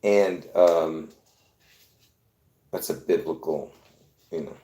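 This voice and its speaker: A man's voice: very deep voice, slow, mellow, reverberant. A man born in Lebanon, hosting a live video in a rambling, insistent monologue full of restarts. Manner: insistent, repetitive, with many restarts. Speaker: conspiracy video host.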